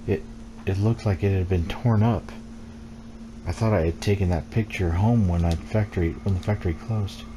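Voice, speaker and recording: A man reading the text of a horror game aloud as scripted storytelling while streaming it. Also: deep voice. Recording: clear background noise.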